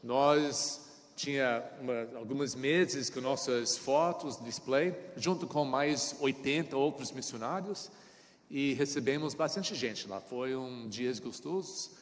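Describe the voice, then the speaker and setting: A man's voice: medium pitch, slow, in a large hall. A middle-aged man, a pastor with an American accent and English as his first language, preaching a sermon in Portuguese in short phrases with small grammar slips and a frequent "tá?". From United States. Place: church sanctuary.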